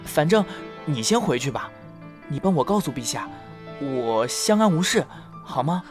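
A man playing a shy, playful, lovestruck young male fox spirit in a period Chinese audio drama, with an expressive, bashful, slightly whiny delivery. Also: a little high, normal speed, music in the background. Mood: uneasy, urging. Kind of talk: ancient-China romance audio drama acting.

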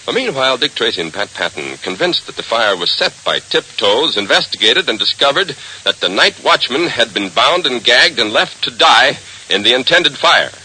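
A man's voice: medium pitch, big pitch swings, moderate pace, pushed and bright, rough recording. An American man announcing and narrating an old radio serial in a dramatic, declamatory style. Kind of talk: 1940s radio scripted narration. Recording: hissy old radio recording.

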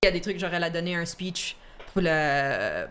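Une femme qui coanime une discussion décontractée en direct, qui rit souvent et mélange des mots anglais à son français. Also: female voice, lowish voice, very animated, moderate pace, fairly bright tone, a little hiss or hum. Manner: laughs often, mixes English words into French. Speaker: co-host. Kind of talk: casual chat on a live stream.

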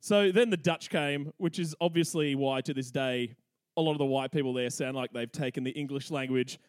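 A man's voice: mid-range voice, moderate pace, smooth, no echo, studio quality. A young man hosting a podcast, telling history in a cheeky, irreverent way and laughing often. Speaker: podcast host. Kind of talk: comedic history storytelling.

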